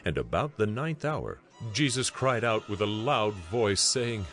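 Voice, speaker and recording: A man giving a solemn, measured narration of scripture for a dramatized audio Bible. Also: lowish voice, expressive, a bit bright. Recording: music bed behind narration.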